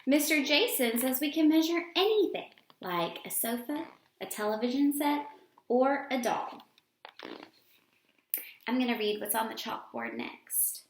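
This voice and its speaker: Expressive, slow-reading woman in an echoey room. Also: high-pitched, a little hiss or hum. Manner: deliberate, emphatic phrasing. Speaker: read-aloud storyteller reading a children's picture book. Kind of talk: expressive read-aloud for children. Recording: roomy, echoing sound.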